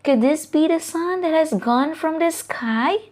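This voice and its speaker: A woman from the Philippines reading a picture book aloud to children in a deliberate, questioning storytelling manner, with asides to the listeners. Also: sits high, darkish tone, a little hiss or hum.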